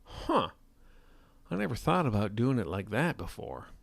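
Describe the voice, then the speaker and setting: A man's voice: medium pitch, sing-song, a little slow, creaky, no room sound, full character voice. A man voicing a rugged, polite and wry frontier gunslinger with a folksy, drawling manner in an audio story. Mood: amused, easygoing. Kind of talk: scripted audio fiction storytelling.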